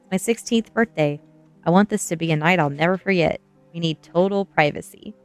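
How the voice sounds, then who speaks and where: A woman's voice: fairly low pitch, brisk, a little mellow, soft background music, lightly acted. A woman voicing a bold, sardonic sixteen-year-old goth girl in an ASMR storybook reading. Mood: coaxing, sly. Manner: sardonic, coaxing.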